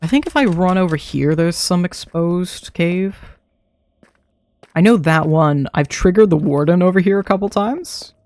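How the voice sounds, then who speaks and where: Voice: medium pitch, normal speed, a little mellow, no room sound. A young adult streamer chatting casually to themself while playing a game, laughing often, throat a little dry. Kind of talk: casual live gameplay commentary.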